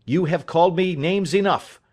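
Audiobook role: wronged young man, played by a male reader, proud and defiant, pitched higher. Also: expressive, moderate pace, lightly acted. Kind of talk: audiobook character dialogue.

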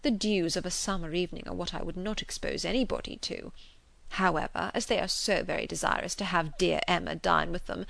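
A woman voicing a fretful elderly gentleman, an anxious invalid father who fusses and pleads gently, in an audiobook. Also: fairly low pitch, steady tone, a little fast, vocal fry, no echo.

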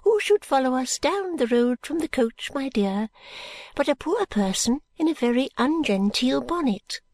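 A woman voicing an eccentric, fluttery elderly lady who talks in slow, breathy, confiding whispers, her pitch rising and falling a great deal. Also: lively intonation, a little mellow, dry recording.

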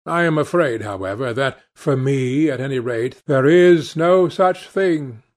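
Man performing a languid, cynical aristocrat with swooping pitch. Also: expressive, measured pace, close to an everyday voice. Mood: suave, teasing. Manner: epigrammatic, nonchalant, pompous. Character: languid witty cynical male aristocrat, urbane and teasing. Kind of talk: audiobook character dialogue.

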